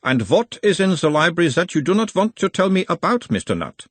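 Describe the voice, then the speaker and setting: A man voicing a calm male hypnotist who questions in a mild Uberwaldian accent, slow and insistent. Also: high-pitched, dry recording, pitched above the performer's own voice. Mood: coaxing.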